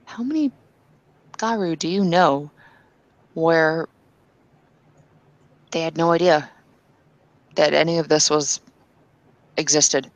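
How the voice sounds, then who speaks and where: Woman as a devout kinfolk woman, earnest, insistent, gravelly voice, halting. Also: low-pitched, no echo, low-quality recording. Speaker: player character. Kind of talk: tabletop roleplay in character.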